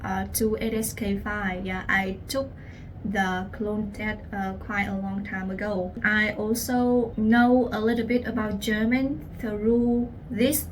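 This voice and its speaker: A young Vietnamese woman, a language teacher, telling her personal story in English with a Vietnamese accent, speaking slowly and earnestly to the viewer. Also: mid-range voice, steady tone, distant mic, poor audio. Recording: voice a little off-mic, faint room noise.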